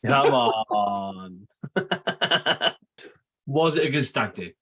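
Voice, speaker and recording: A man's voice: mid-range voice, lively intonation, pushed and bright, husky. Man, teasing podcast co-host. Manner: teasing, quick to laugh. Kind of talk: casual chat.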